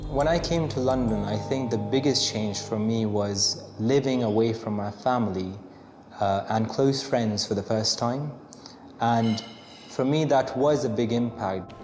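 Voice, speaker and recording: A man speaks reflectively in an interview at a middle pitch. His voice echoes in a reverberant room. Music plays underneath. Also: measured pace, darkish tone. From Pakistan.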